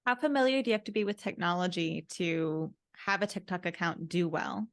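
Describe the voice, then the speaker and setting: A woman's voice: lowish voice, brisk. A woman hosting an interview, asking orderly questions and summing up points articulately. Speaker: podcast host, interviewer. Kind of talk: structured interview questions and summaries.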